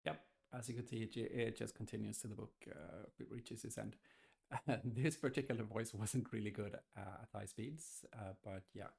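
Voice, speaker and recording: Creaky-voiced man giving a software walkthrough. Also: fairly low pitch, normal speed. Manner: hesitant, thinking aloud. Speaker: software tester demonstrating. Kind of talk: casual screen-recorded software walkthrough. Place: indoors, screen-recorded voice-over.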